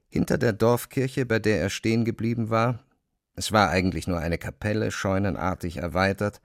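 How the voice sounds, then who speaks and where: A man narrating a literary classic in a measured, precise storytelling style. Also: on the low side, vocal fry, no room sound, clean studio sound.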